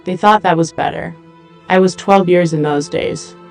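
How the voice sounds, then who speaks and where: High-pitched guarded android woman acted by a man. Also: expressive, unhurried, creaky, exaggerated voice. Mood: sombre. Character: guarded young female humanoid android, world-weary survivor. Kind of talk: audio drama dialogue. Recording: music bed behind voice.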